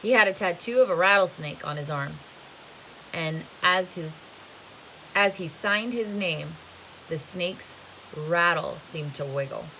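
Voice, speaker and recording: A woman reading a novel aloud as a teacher would to students, with expressive storytelling narration. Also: fairly low pitch, unhurried, rough recording. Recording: faint hiss behind the voice. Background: faint steady hiss.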